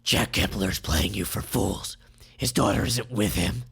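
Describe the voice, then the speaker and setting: A man voicing an angry, vengeful villain tycoon in a forceful, menacing, dramatic way for a comic read aloud. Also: lowish voice, unhurried, vocal fry, breathy edge, voice pushed down, heavily acted. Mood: scornful.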